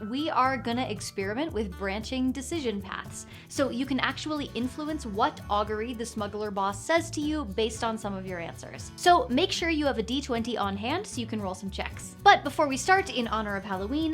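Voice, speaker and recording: A woman hosting a roleplay video, presenting scripted material directly to the viewer. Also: a little high. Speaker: video host and creator. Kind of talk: scripted upbeat presenting to camera.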